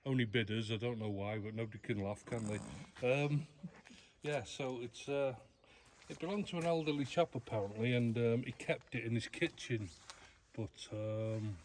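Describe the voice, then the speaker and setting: A man's voice: mid-range voice, steady tone, moderate pace, darkish tone. A man with an English accent explaining things casually in an informal, matter-of-fact and slightly hesitant way. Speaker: restorer explaining an old machine. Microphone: camera held by another person a short way off. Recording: background noise, handheld camera sound.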